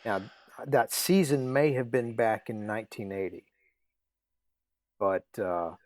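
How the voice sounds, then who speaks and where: A man hosting a casual interview chat, speaking haltingly with trailing pauses. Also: lowish voice, expressive, a little mellow, dry recording. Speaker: interviewer, host.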